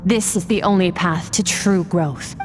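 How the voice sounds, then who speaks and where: A woman acts an aloof young swordmistress from an anime game. Her voice is on the low side, cool, measured and commanding, with music clearly audible behind it. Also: lightly acted. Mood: stern, focused. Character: stern, aloof young female sword master training a pupil. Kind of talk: anime video game voice acting.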